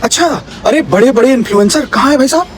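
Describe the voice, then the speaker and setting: A young man with a very high voice rants sarcastically about politics. He fires off one mocking rhetorical question after another. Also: expressive, fast talker, some chatter behind. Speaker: political commentator.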